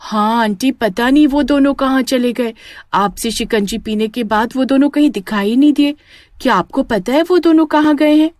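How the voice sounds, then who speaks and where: A woman's voice: high voice, normal speed, darkish tone, no room sound, studio quality, voice pushed up, lightly acted. A woman voicing an innocent, curious village child in a cartoon story, with an earnest manner.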